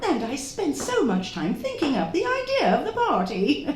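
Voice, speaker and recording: A woman's voice: sits high, huge pitch swings, background noise. A woman playing a domineering, indignant society hostess and would-be medium in a theatrical radio drama. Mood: haughty indignation. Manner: imperious, indignant, scolding, drawn-out theatrical delivery. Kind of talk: live radio drama acting. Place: stage of a live theatre performance. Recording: roomy echoing live stage recording.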